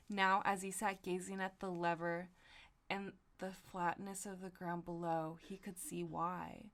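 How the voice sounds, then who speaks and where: A young woman with a slightly low voice hosts a comedy podcast. She reads fan fiction aloud and drops into loose, slangy banter. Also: flat delivery, slow pace, a bit bright. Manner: slangy, profane, giggly asides. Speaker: podcast host.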